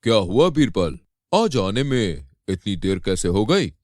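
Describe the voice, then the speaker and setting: A man's voice: on the low side, sing-song, unhurried, a little mellow, no room sound, clean studio sound. A man voicing a proud, easily offended emperor in a storybook tale, with an imperious, questioning manner. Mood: puzzled, questioning. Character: Emperor Akbar, proud, easily offended male Mughal ruler. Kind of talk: animated Hindi storybook acting.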